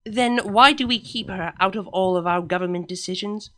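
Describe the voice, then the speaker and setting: A woman's voice: medium pitch, moderate pace, a bit bright, natural voice. Woman putting on a sarcastic pony princess sister. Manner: dry, teasing, matter-of-fact. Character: sarcastic younger royal mare princess sister.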